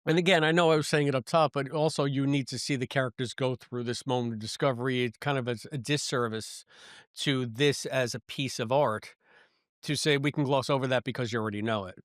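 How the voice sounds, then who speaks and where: A man's voice: medium pitch, fairly even intonation, fairly quick, dry recording, clean studio sound. A man co-hosting a podcast, talking through a review in a rambling, casual way with stammered restarts. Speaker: podcast co-host.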